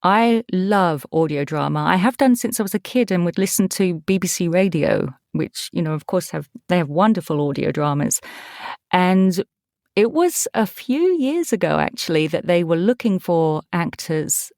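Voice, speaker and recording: An English woman speaks as an interview guest in a fairly low voice. She is chatty and enthusiastic, and the sound is dry with very little room on it. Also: expressive, normal speed, darkish tone, no room sound. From eastern England. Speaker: podcast guest, voice actor. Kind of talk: casual interview chat.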